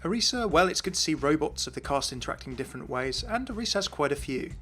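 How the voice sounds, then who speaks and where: A man's voice: on the high side, big pitch swings, fast talker, over music. A man giving an explanatory voice-over as the host of a game lore series.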